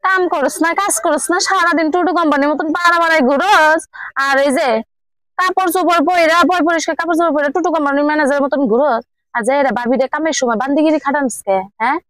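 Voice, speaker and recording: A woman acts out a sharp-tongued villager in a drama, nagging in a high voice at a rapid clip. She is blunt and bossy, with a Bangladeshi regional accent. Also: fairly even intonation, no room sound, rough recording. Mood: scolding, huffy.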